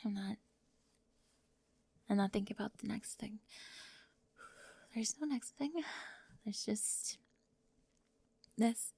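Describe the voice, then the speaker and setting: A woman playing a talkative, bossy high school girl who gets easily flustered, in an ASMR roleplay with halting speech and breathy drops toward a whisper. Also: mellow, no room sound, heavily acted. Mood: tender, flustered.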